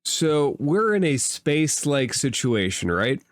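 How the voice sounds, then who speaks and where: A man's voice: mid-range voice, sing-song, measured pace, dry recording, studio quality. A man acting as game master, casually narrating and explaining a tabletop game in a conversational way.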